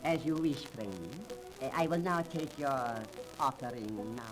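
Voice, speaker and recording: An actor playing a solemn, ceremonious seance house attendant, formal and measured, in a 1930s radio drama. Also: male voice, medium pitch, music in the background, close to an everyday voice. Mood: solemn, hushed. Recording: noisy 1930s radio transcription.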